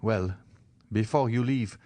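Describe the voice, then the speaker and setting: A man's voice: fairly low pitch, takes it slowly, mellow. A man voicing a devoted young man, speaking in an earnest, solemn and reassuring way in an audiobook reading.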